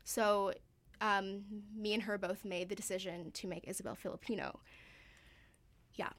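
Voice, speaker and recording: A woman's voice: medium pitch, steady tone, normal speed, fairly bright tone. Chatty young actress in her twenties. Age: 20s. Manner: chatty, laughs easily, lots of "like". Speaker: actor guest. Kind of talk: casual interview.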